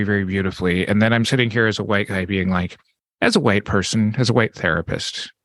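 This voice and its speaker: A man's voice: on the low side, dry recording. A man hosting a podcast, asking a long question while thinking aloud. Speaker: podcast host. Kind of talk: casual interview question.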